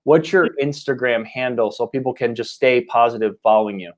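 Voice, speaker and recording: A man's voice: medium pitch, brisk. Man hosting a casual motivational interview. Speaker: interview show host and coaching institute founder.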